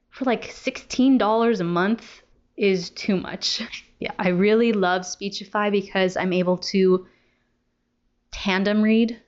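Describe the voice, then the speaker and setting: A woman's voice: a little high, dull audio. A young adult woman talking casually to viewers as a book vlogger. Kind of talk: casual chat to camera.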